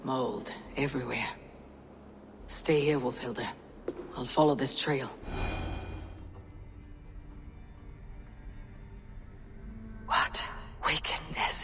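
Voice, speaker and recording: A woman's voice: sits low, vocal fry, fairly bright tone, slight background noise, full character voice. A woman playing a stern, stoic female Viking warrior in a video game. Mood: subdued, somber. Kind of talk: dramatic video game acting.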